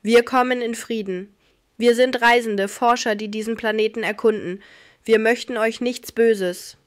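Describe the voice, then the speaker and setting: A woman playing a calm, diplomatic starship captain in a children's audio story, composed and formal. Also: fairly high pitch, unhurried, no room sound, studio quality, close to an everyday voice. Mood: reassuring.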